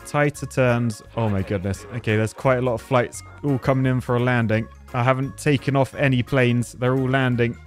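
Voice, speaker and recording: Man, British accent, middle-pitched voice, casual gameplay commentary, jokey, thinking aloud, music in the background. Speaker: gaming video host.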